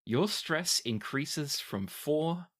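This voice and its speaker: A man acting as game master, narrating a tabletop roleplaying game and explaining it deliberately. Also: a little high, animated, a bit bright.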